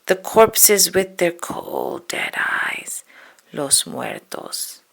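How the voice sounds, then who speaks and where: A woman storyteller with a low, creaky voice reads a spooky children's poem at a very slow pace. She draws out her words dramatically and slips into hushed whispers. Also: huge pitch swings. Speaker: storybook reader.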